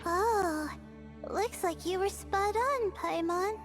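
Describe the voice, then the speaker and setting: A woman playing a timid, self-doubting young female student in a video game, gentle and modest, with a hesitant, soft-spoken delivery. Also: very high-pitched, slow, over music. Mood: thoughtful.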